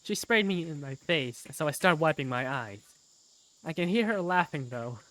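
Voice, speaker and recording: A man, an amateur voice actor, narrating a visual novel fandub in the first person in an animated way. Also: on the high side, moderate pace.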